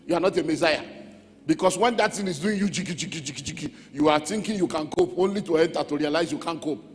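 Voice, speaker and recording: A man's voice: fairly high pitch, quick pace. A man with a Nigerian accent preaching as a pastor, emphatic, repeating key phrases and checking in with his listeners. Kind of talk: sermon teaching on relationships. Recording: echoey hall with music underneath.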